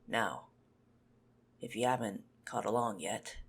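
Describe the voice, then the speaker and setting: A menacing male vampire acted out by a man in an ASMR roleplay, toying with his prey. His voice is low and heavily gravelled, often sinking toward a whisper. He is possessive and mocking, talking down to the listener at an unhurried pace. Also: a bit bright, heavily acted. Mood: mocking, teasing. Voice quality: husky, gravelly, breathy. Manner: mocking, slow, condescending, often dropping to a whisper.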